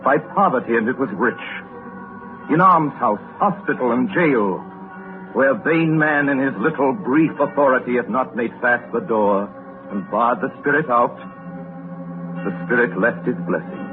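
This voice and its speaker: An actor narrating a 1930s radio drama as a grave, portentous storyteller with measured, theatrical phrasing. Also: male voice, medium pitch, lightly acted. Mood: solemn. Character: solemn, portentous male storyteller. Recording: tinny 1930s radio recording with music.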